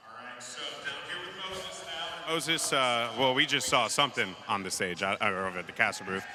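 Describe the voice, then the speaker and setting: A man interviewing a player on stage before a match, excitable and hyping things up. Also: mid-range voice, fairly quick, a little hiss or hum. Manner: excitable, laughing hype. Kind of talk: hyped pre-match interview.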